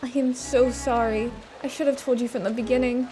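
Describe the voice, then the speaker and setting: Loud music runs under a woman acting an earnest, remorseful young accomplice in an audio drama. Her voice is on the high side. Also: fairly even intonation, fairly quick, lightly acted. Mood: guilty, insistent.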